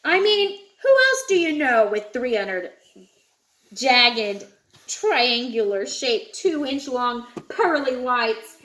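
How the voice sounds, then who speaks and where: A woman's voice: a little high, very animated, takes it slowly, fairly bright tone, exaggerated voice, rough recording. A woman voicing a boastful, cheerful, playful shark from a children's storybook. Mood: gleeful bragging.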